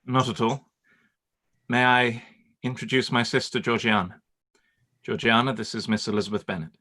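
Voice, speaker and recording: A man playing a courteous, reserved gentleman in a period radio play, speaking formally and with restraint. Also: medium pitch, little pitch movement, normal speed. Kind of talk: live-read period radio play acting.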